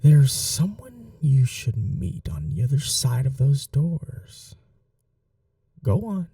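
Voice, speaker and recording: Slow, dark-voiced possessive vampire voice actor's roleplay. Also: mid-range voice, sing-song, no room sound, clean studio sound, recorded from a distance, heavily acted. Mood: coaxing. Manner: slow, soft, breathy, condescending teasing. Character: possessive vampire noble, teasing, softly cruel captor and lover. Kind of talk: ASMR roleplay.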